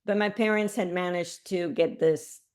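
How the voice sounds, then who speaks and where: A middle-aged woman from Cuba, a podcast guest, telling her life story in an animated way, with restarts and laughs. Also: fairly low pitch, moderate pace.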